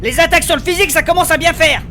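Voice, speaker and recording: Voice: very high-pitched, very fast, a crowd in the background. An actor playing a small, cowardly, boastful gnome who whines and protests in broken third person in a comic fantasy audio drama. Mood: boastful, indignant. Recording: loud train noise and clamour behind.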